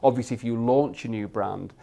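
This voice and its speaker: A man with a Yorkshire accent and a loud voice, speaking as a guest on a live industry panel and often restarting his sentences as he thinks. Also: mid-range voice, a little fast, creaky. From Yorkshire, England. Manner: thoughtful, with frequent restarts and repeated words. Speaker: head of media effectiveness, guest. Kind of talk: panel discussion at an industry event.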